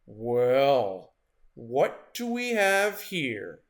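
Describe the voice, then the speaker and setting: Hungry male shark for a children's story, voiced by a man: growling, menacing, wryly amused, big swings in pitch. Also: huge pitch swings, very slow, exaggerated voice.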